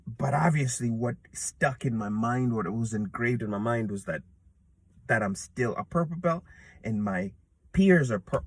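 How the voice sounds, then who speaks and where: A man's voice: medium pitch, no echo. A man in his thirties talking to himself outdoors in an earnest, rambling way, with many restarts and fillers. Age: 30s. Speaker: jiu-jitsu purple belt vlogger. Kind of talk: reflective casual monologue. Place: outdoors in a public place.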